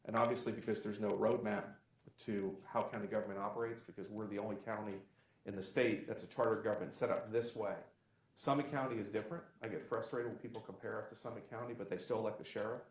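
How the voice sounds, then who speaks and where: Rambling lower-voiced man, echoey room. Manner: talks in long, rambling explanations. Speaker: county official on a panel. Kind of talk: unscripted panel talk.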